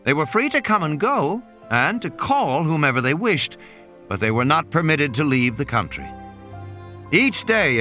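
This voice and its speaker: A man's voice: mid-range voice, pushed and bright. A man reading a dramatic scripted story as an audiobook narrator, with music and sound effects behind him. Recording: music under the voice.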